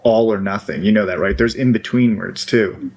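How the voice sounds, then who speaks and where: A man's voice: fairly low pitch, moderate pace, a little mellow, breathy edge. A man in a phone argument, pressing his point with insistent questions. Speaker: caller.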